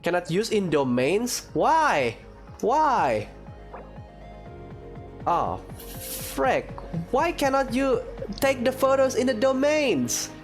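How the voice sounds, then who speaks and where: A man's voice: very high voice, big pitch swings, takes it slowly, long pauses. A man giving casual playthrough commentary, laid-back and amused as he reacts aloud to a video game. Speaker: gaming video creator. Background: game music.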